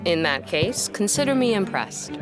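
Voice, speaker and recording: An actress playing a reserved, keen-witted historian in a gothic audio drama, speaking formally with a dryly probing manner. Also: female voice, fairly low pitch, big pitch swings, normal speed, over music. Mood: intrigued.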